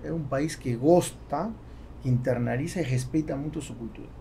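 A man in his forties with a Spanish accent in Portuguese, talking casually and haltingly as a podcast guest. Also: medium pitch, vocal fry, mellow. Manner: halting, with repeated words. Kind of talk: casual interview talk. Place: small foam-padded podcast studio. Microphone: close studio microphone on boom arm. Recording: faint background noise.

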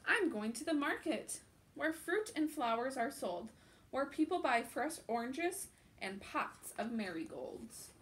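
A woman's voice: sits high, big pitch swings, a little slow, slight background noise, low-quality recording. A woman reading the lines of a cheerful male bear on a journey aloud, in a sing-song storybook rhythm.

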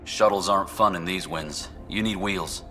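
A man's voice: low voice, normal speed, bright, forceful tone, faint music behind. A man playing a world-weary outpost scientist in a video game, blunt, informal and wry. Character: gruff, world-weary male outpost scientist giving practical advice. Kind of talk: scripted video game dialogue.

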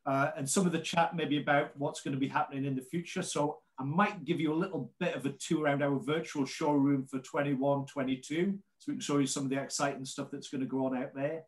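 A man's voice: fairly high pitch, steady tone, brisk, hardly any pauses. A man in his late forties with a Geordie accent, presenting chattily and informally as a guest on a video call. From North East England. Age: late 40s. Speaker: ski brand representative and guest presenter.

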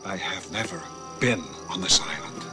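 A man playing a stern, exasperated scientist in an animated film, blunt, commanding and skeptical. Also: deep voice, normal speed, close to an everyday voice. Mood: accusing, incredulous. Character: stern, exasperated adult male scientist and expedition member. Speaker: film character. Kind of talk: dramatic animated film dialogue. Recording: film audio under loud music.

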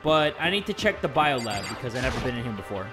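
A man playing through a story game and talking casually to viewers, his voice hoarse and worn out from recording. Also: medium pitch, big pitch swings, fast talker, over music. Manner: chatty, thinks aloud with many fillers. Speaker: gaming video host. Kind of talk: let's play commentary, reading game text aloud.

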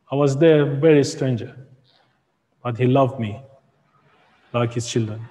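Iranian-accented man giving a halting testimony. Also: mid-range voice, a little mellow. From Iran. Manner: halting, with many ums and broken grammar. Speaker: guest speaker. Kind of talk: personal testimony. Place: church hall, indoors. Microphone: far camera. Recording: echoey hall from far camera.

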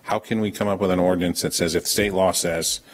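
A man with a very low, deep voice holds his ground at a town board meeting. He explains his point firmly, often restarting sentences as he works it through. The sound comes from a camera set across a meeting room. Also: steady tone, a little fast. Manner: firm, explanatory, with frequent restarts. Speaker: town official presenting an ordinance. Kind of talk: public meeting discussion.

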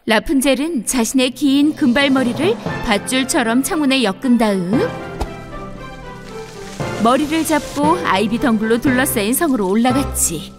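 A woman narrating a scripted children's fairy tale in an expressive storybook manner. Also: high voice, normal speed, music in the background.

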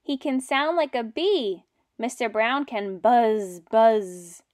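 A young woman teaching and reading aloud to small children in simple, deliberate phrases. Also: a little high, expressive, darkish tone, a little hiss or hum. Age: young adult. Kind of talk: children's read-aloud lesson.

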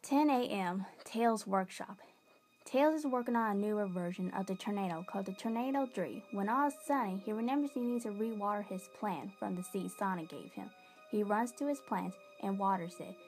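A woman with a medium-pitched voice narrates a scripted story. She reads it aloud, with music playing behind her. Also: a little fast, a little mellow.

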